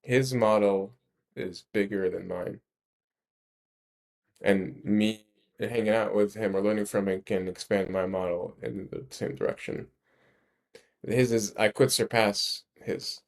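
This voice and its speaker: A man explaining his ideas in a casual discussion, halting and rephrasing emphatically as he goes. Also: on the low side, steady tone, creaky. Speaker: speaker explaining a research ranking system.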